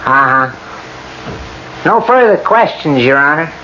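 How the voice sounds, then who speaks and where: An actor playing a dry, methodical lawyer who questions with pointed skepticism in a radio drama. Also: male voice, on the high side, expressive, a little slow. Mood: measured skepticism. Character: dry, methodical male lawyer cross-examining a witness. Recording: muffled, noisy old radio broadcast.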